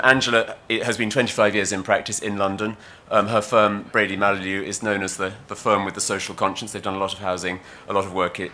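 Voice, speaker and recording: A man in his thirties introducing a keynote speaker from a podium microphone, halting, with many fillers and restarts. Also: fairly low pitch, bright, forceful tone, slight background noise. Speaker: session moderator. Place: conference hall podium.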